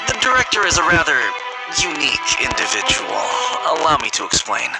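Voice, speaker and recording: A young man working at a funeral parlor in a video game, with a lively, slightly high voice. He explains things formally and politely, in an apologetic way, over loud music. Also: brisk, rough recording. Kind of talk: video game character acting.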